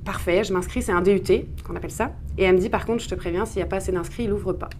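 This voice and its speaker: Female interview guest, thirties, French accent from France, talkative storyteller, mid-pitched, some noise on the recording. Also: steady tone, very fast, a little mellow. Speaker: interview guest, urban planner. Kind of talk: conversational interview, personal storytelling.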